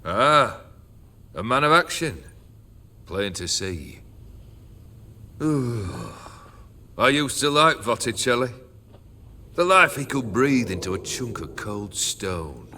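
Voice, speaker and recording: Olgierd, a jaded sardonic noble. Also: male voice, medium pitch, very animated, slow pace, pauses often, pushed and bright, a little hiss or hum, exaggerated voice. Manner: languid, sardonic, drawling. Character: cursed jaded male noble bandit leader. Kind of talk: game cutscene voice acting. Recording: rowdy crowd of revelers behind.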